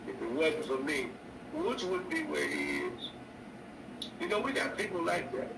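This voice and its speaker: An older man, a bishop preaching a sermon on his radio show, slow and repetitive with stammered restarts. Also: mid-range voice, gravelly. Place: indoor room. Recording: echoey distant mic with noise.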